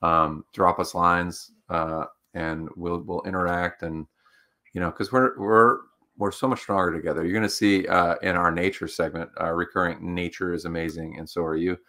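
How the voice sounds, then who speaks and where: A man's voice: sits low, fairly even intonation, normal speed, bright, forceful tone. A man co-hosting a livestream talk show, chatting casually and rambling with lots of fillers. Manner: rambling, filler-heavy, upbeat. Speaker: co-host.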